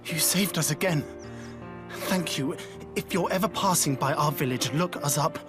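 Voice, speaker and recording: An actor playing a humble, friendly, grateful villager in a video game, welcoming in manner. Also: male voice, on the high side, moderate pace, over music, lightly acted. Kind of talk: video game cutscene acting.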